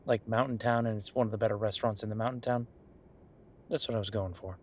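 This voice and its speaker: A man playing an easygoing, devoted boyfriend who is a small-town chef, talking casually and haltingly in an intimate, reassuring roleplay audio. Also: lowish voice, fairly even intonation, a little mellow, a little hiss or hum, lightly acted. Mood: earnest, reassuring. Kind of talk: intimate conversational roleplay acting.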